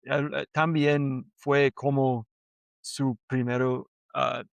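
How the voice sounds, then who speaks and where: A man speaking learner Spanish at an unhurried pace. His voice is clear and clean, and the sound is dry and close. He talks hesitantly, restarts often and fills gaps with quick yeses. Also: medium pitch, little pitch movement, no echo. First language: English. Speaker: podcast co-host. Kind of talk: casual conversation in learner Spanish.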